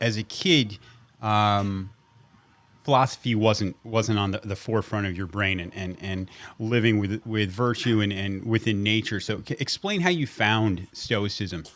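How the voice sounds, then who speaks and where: A man hosting a podcast, talking casually and haltingly, often repeating words as he sets up questions over a video call. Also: on the low side, a bit bright. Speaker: podcast host. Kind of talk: casual podcast intro and interview.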